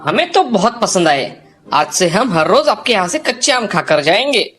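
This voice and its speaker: Woman playing a lively, friendly boy. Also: mid-range voice, moderate pace. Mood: pleased. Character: friendly, enthusiastic boy customer. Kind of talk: cartoon story dialogue. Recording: music under the voice.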